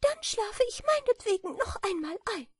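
A woman's voice: extremely high pitch, big pitch swings, normal speed, no room sound, studio quality, voice pushed up. A woman reading aloud voices a small, hungry female bat just woken from hibernation, singing and complaining in a fine, thin soprano. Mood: plaintive. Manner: complaining, sighing. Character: tiny weary female bat, sleepy and hungry. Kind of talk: storybook character voice.

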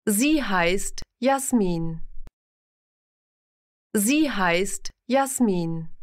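A woman slowly reading simple example sentences for a language lesson, pronouncing each word carefully and repeating every sentence. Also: medium pitch. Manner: careful, evenly paced, clearly enunciated.

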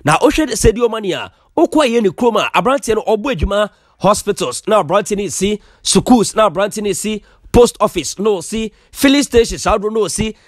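A man with a Ghanaian accent presenting sports news as a voice-over, switching quickly between Twi and English. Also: high voice, expressive, no room sound, studio quality. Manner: fast mixing of Twi and English.

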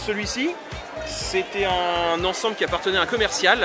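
A man's voice: sits high, a little fast, over music. Chatty man explaining old computers. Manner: explanatory, many fillers and restarts. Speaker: retro computer exhibitor. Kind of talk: casual explanation at a convention stand. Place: busy convention hall stand, indoors. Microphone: handheld recorder held by the interviewer.

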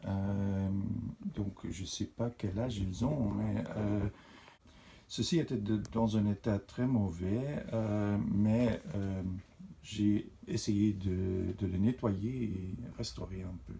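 Non-native man, hobby channel host, very slow, dark mellow voice, faint hiss, lots of hesitant fillers. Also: fairly low pitch, fairly even intonation, gravelly. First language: not French. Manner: halting, many "euh" fillers and restarts. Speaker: model railway channel host. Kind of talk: casual hobby show-and-tell. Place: indoors beside a model railway layout.

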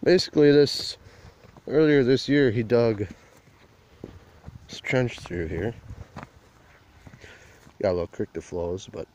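A man's voice: mid-range voice, lively intonation, slow, pauses often, a little mellow. A man vlogging on a phone as he walks a burnt field outdoors, talking in a plain, rambling rural way. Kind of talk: casual walk-and-talk vlog. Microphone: handheld phone camera while walking.

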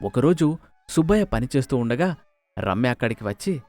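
A man's voice: medium pitch, very animated, normal speed, a little mellow, no echo. A man narrating a scripted moral story as a storyteller, recorded close on the mic. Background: music.

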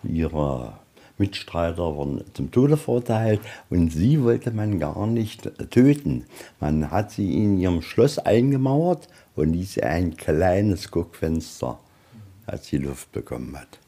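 A man with a husky voice giving an informal, explanatory guided tour as a museum guide. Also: deep voice, very animated, takes it slowly, dark tone. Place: indoors in a museum.

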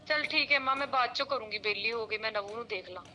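A woman's voice: fairly high pitch, fast talker, pushed and bright, a little hiss or hum. A woman playing a tired, worried married daughter, subdued in her talk. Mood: weary, worried.

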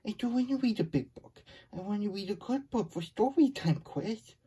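Puppet story-time host: childlike, grumbly hedgehog played by a man, husky and mellow, stammering, fretful asides. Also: high voice, animated, normal speed, heavily acted. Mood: exasperated. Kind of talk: puppet read-aloud with chatty asides.

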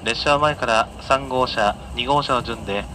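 A man working as a train conductor, giving polite, formal announcements and sightseeing guidance to passengers in a measured way. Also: mid-range voice. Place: inside a moving train, over the announcement system. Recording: on-board PA captured amid train noise. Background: faint train running noise.

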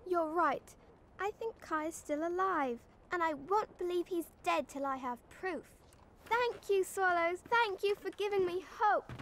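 A woman's voice: very high voice, a little slow, slight background noise. A woman voicing an earnest, tender-hearted young girl in a cartoon, with a wistful, hopeful manner. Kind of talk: animated cartoon acting. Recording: loud background music.

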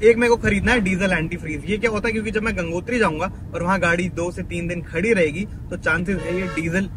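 A man's voice: high voice, fairly even intonation, fast talker, background noise. A man narrating his own travel vlog in a chatty, thinking-aloud way, mixing in English words. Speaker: travel vlogger. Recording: background music under the voice.

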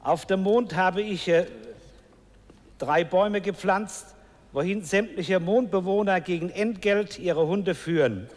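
A man makes a slow, mock-formal announcement. His voice is unusually high for a man. Long studio reverb surrounds him, and he speaks at a deliberate pace. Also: darkish tone. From Essen, Germany. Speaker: game show contestant.

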